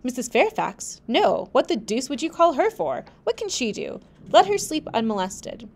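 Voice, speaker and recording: A woman reading aloud, voicing a brusque, commanding adult gentleman who barks orders. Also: high-pitched, big pitch swings, moderate pace, creaky, pitched above the performer's own voice, exaggerated voice.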